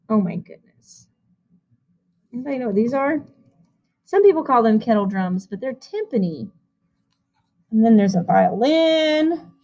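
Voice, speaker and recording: A woman with a high-pitched voice reads a picture book to young children. She sounds like a music teacher, animated and expressive in her storytelling. She slips between the verse and chatty asides to her young listeners. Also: poor audio.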